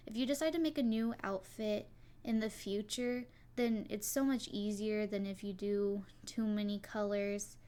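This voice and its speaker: A young woman with a soft, quiet voice, casually talking to her viewers about her character design, rambling a little with fillers and restarts. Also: medium pitch. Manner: rambling, many likes and restarts. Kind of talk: casual solo talk to viewers.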